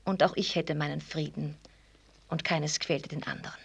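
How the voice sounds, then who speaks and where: An actress in a German radio play voicing a proud, ironic young heiress with a formal, teasing manner. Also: female voice, deep voice, steady tone, normal speed, creaky, husky, heavily acted. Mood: ironic, reproachful. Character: proud, mocking young millionaire's daughter who rides fast.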